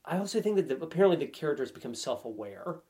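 A man's voice: on the high side, sing-song, very fast, warm tone. A man chatting casually as a podcast guest, with a wry, joking way of talking. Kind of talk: casual chat about video games.

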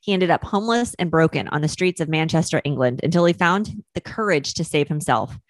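A woman hosting a podcast, reading a scripted introduction in a polished, measured way. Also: sits low, lively intonation, dry recording. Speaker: podcast host.

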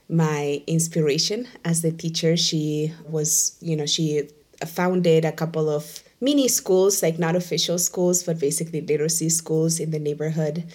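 A young woman from Venezuela with a notably low voice, speaking as an interview guest. She talks reflectively, in quick bursts, leaning on "like" as she thinks. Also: a little mellow. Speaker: Spanish teacher, guest. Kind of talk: candid conversational interview.

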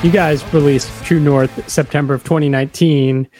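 A man's voice: on the high side, steady tone, moderate pace, darkish tone, faint music behind. A man hosting a podcast, asking interview questions in a conversational style.

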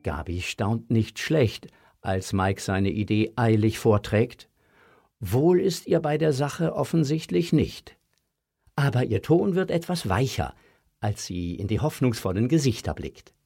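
A man narrating a scripted German children's audio story with a slightly husky voice. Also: mid-range voice, lively intonation, unhurried, dark tone.

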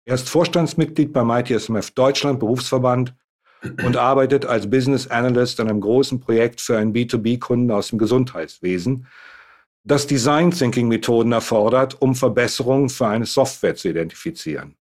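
Ein Mann, der als Gastgeber eine Online-Panel-Fragerunde moderiert und die Gäste förmlich und gemessen vorstellt. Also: male voice, medium pitch, a little mellow. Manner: introduces guests in a measured, formal tone. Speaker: host.

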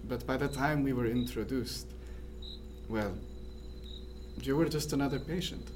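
A man's voice: medium pitch, unhurried, darkish tone, a little hiss or hum, close to an everyday voice. Man acting a thoughtful ship's surgeon amid night insects. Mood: tender, hesitant. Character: thoughtful male ship's surgeon, guarded but tender. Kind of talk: radio drama acting.

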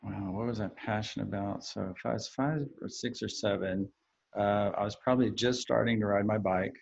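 A man's voice: fairly low pitch, normal speed, vocal fry, darkish tone. An American man from Texas speaking casually as an interview guest, on a slightly dull recording. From Texas, United States. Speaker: podcast guest, marketing professional.

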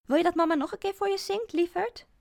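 Woman doing a shrill, desperate mother in an audiobook; gravelly, dry. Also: fast, darkish tone, no echo. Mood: anxiously coaxing. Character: frantic, unstable young mother clinging to her child. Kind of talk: audiobook character voice.

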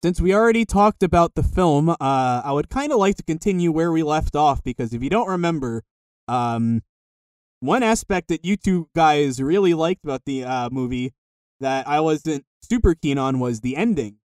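High-pitched man, dry close sound. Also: lively intonation, moderate pace, darkish tone, smooth, dry recording. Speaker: podcast co-host. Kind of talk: casual film podcast chat. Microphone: voice call recording.

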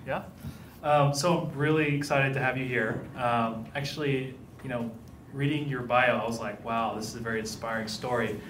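A man's voice: mid-range voice, steady tone, moderate pace, a bit bright. A man asks his questions in a loose, meandering way, stumbling over restarts and ums. He sounds distant from the mic, with the room's echo around him. A faint ambient hum sits underneath. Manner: rambling, hesitant, laughing often. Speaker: interviewer, event director. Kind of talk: casual interview questions. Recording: echoey room picked up from a distance.

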